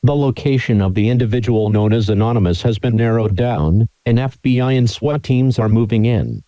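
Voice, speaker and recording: Casting: male alert-system announcer, mellow dark tone, formal and impersonal, mid pitch, very dry recording. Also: normal speed, dry recording. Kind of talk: scripted formal emergency broadcast announcement.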